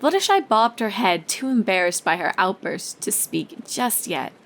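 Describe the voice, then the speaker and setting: A woman's voice: medium pitch, sing-song, moderate pace, fairly bright tone. A woman reading scripted story narration as the narrator of a fan fiction tale.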